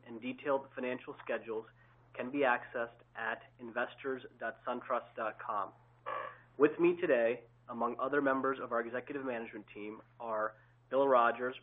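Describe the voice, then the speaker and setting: A man opening an earnings call, formally reading a scripted disclaimer and introducing the executives. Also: mid-range voice. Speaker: investor relations host. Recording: tinny phone line.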